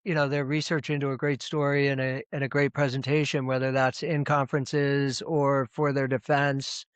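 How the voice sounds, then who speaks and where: Middle-aged man, interview guest on a video call. Smooth, resonant voice and a bit high for a man. Loose, rambling delivery with lots of restarts. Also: dry recording. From United States. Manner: rambling with frequent restarts and repeated words. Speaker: presentation coach, guest. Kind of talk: casual interview talk.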